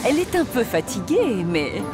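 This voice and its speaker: A woman's voice: fairly high pitch, very animated, normal speed. A woman playing the narrator of a children's train cartoon, telling the story expressively from a script. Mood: storytelling. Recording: music behind the voice.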